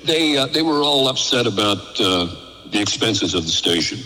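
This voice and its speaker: An older man, an interview guest and former radio programmer, telling casual anecdotes in an animated way and acting out exchanges. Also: on the low side, normal speed, long reverb tail, poor audio.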